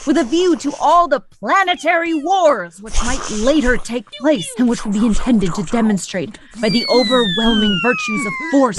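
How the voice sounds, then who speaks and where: Woman performing high-voiced, sardonic radio play narrator. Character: grave, sardonic narrator denouncing American war-making. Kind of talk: theatrical recitation of avant-garde radio play.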